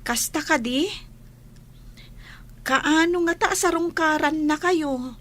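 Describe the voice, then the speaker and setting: A woman acts out a young Filipino village friend in a radio play. Her voice is high and she takes her time, stretching words out in a concerned, conversational way. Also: slow pace, fairly bright tone. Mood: concerned, inquiring. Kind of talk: radio drama acting.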